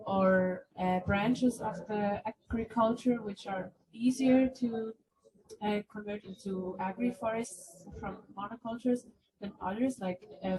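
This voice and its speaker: A woman's voice: mid-range voice, a little mellow, poor audio. Hesitant young woman from Switzerland. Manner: hesitant, restarts and apologizes. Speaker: audience member asking a question. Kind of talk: question from the floor.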